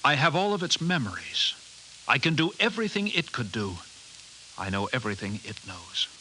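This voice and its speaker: Timid Martian posing as human, 1950s radio drama. Tense, very bright, animated yet hesitant, faint hiss. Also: male voice, medium pitch, poor audio. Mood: stiff, matter-of-fact. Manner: hesitant, halting, oddly formal. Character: timid, anxious Martian inhabiting an ordinary man's body. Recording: hissy 1950s radio recording.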